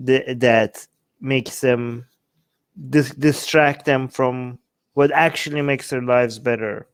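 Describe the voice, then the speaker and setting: A man's voice: mid-range voice, smooth, dry recording. A man giving casual political commentary as a co-host, talking emphatically and often checking in with rhetorical questions. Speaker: talk show co-host.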